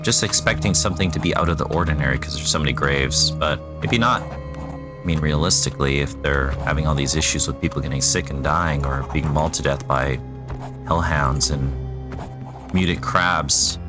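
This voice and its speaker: A man's voice: extremely low pitch, moderate pace, music in the background. A young man casually commenting while playing a retro role-playing game, musing aloud with wry asides and reading the game text. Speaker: gaming channel host. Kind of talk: casual let's play commentary.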